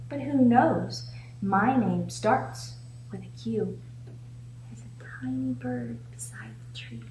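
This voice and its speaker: A woman reading a picture book aloud to young children as a storytime host, with an encouraging, sing-song way of prompting her listeners. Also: a little high. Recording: echoey room with faint background noise.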